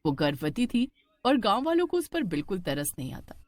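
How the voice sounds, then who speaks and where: A woman narrating a scripted horror cartoon story in an expressive storytelling style. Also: medium pitch, brisk, no room sound.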